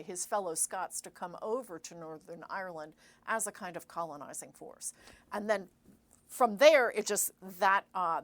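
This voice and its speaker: A middle-aged woman historian answering interview questions in a measured way, pausing with fillers and correcting herself as she explains. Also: on the low side. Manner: measured, thinks aloud with fillers and self-corrections. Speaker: historian guest.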